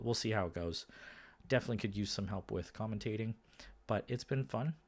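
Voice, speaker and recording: A slightly hoarse man commentating a card game match on his own, casual and thinking aloud, with frequent fillers and restarts. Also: on the low side. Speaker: game commentator and podcast host.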